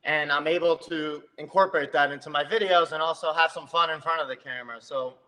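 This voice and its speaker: A man from New Jersey, a comedic video creator, chatting lively in an interview and quick to tell stories. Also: on the high side, in a large hall, low-quality recording. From New Jersey, USA. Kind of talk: casual interview chat.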